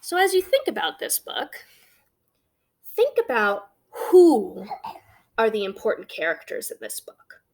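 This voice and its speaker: A woman's voice: a little high, huge pitch swings, unhurried. A woman guiding young children through a storybook, asking simple questions as a teacher would. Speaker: teacher leading a children's read-aloud.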